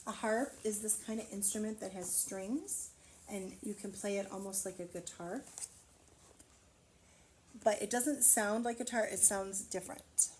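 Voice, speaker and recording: A woman reading a storybook aloud to children, expressively, pausing to wonder aloud and explain things simply. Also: medium pitch, darkish tone, slight background noise. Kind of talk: children's read-aloud with asides to the listener.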